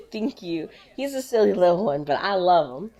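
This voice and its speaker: A woman's voice: medium pitch, very animated, unhurried, mellow. A woman playing a cheerful, friendly pet owner in an audio drama, talking in a chatty, informal, affectionate way. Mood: cheerful, fond.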